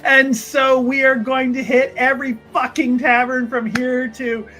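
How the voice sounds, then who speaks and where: Female tabletop player, high bright voice, eager emphatic improvised narration. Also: flat delivery, a little slow, faint music behind. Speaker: player in a tabletop roleplaying game.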